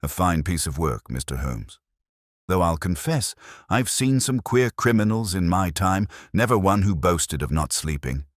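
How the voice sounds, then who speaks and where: A man voicing a gruff, plain-spoken police inspector in an audiobook mystery. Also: low voice, expressive, measured pace, no echo, studio quality. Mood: grudging, puzzled.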